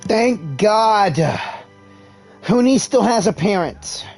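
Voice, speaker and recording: A man's voice: high voice, animated, slow, a little mellow, soft background music. A man streaming a game live, reading the dialogue aloud with casual asides. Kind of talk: live gameplay commentary.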